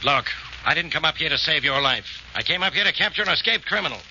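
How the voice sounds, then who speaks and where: Blunt indignant police inspector, American, fairly high voice, old-time radio drama, muffled recording. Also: male voice, animated, quick pace, bright, forceful tone, a little hiss or hum, exaggerated voice. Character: gruff, irritable male police inspector, easily exasperated. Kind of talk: 1940s radio drama acting.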